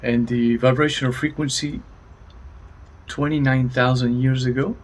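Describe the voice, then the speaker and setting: A man's voice: mid-range voice, steady tone, moderate pace. A man co-presenting, reporting readings in brief, matter-of-fact statements. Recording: background ambient noise.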